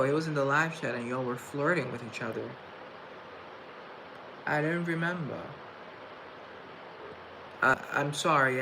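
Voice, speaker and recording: Young male streamer, mid voice, halting, stuttering, chatty; noisy webcam sound. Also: medium pitch. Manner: halting, stuttering, restarts words; reflective and chatty. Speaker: livestream host. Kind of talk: casual livestream chat, reading chat aloud. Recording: noisy room tone.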